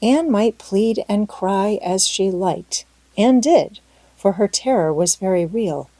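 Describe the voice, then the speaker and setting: Mellow woman narrating a story. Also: mid-range voice, slow pace, no echo. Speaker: audiobook narrator. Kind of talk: expressive scripted story narration.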